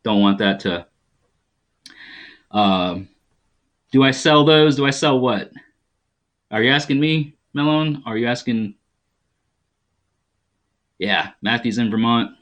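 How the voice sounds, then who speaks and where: A man's voice: medium pitch, lively intonation, takes it slowly, long pauses, a bit bright. A man from rural New York hosting a live stream, chatting casually and rambling with frequent ums as he reads viewers' comments. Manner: greets viewers and reads their comments aloud.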